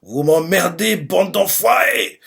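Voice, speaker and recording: A man's voice: fairly high pitch, moderate pace, a little mellow, natural voice. A man voicing an angry warrior commander from a manga, bluntly scolding ungrateful soldiers in an indignant speech.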